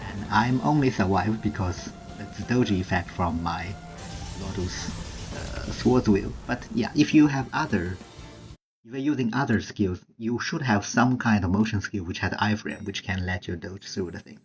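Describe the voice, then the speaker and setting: A man's voice: lowish voice, moderate pace, poor audio. A man giving casual, instructional video game commentary, explaining tips step by step as a walkthrough creator. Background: game music.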